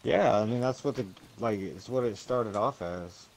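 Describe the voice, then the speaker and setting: A man's voice: on the low side, moderate pace, a crowd in the background. A man chatting casually while gaming, rambling and swearing freely. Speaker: gamer talking while playing. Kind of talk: casual chat. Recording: clearly noisy game-session mic, clicks.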